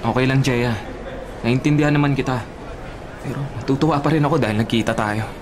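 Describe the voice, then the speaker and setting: Fast-talking, earnest young Filipino man, played by an actor. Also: medium pitch, background noise, close to an everyday voice. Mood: admiring. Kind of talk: Filipino radio drama acting.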